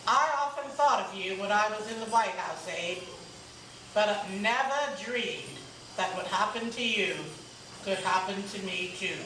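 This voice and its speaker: A woman's voice: mid-range voice, lively intonation, a little slow, natural voice. A woman playing a young, thoughtful male president in a readers' theater stage reading. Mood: reflective. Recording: echoey room, distant mic, noisy.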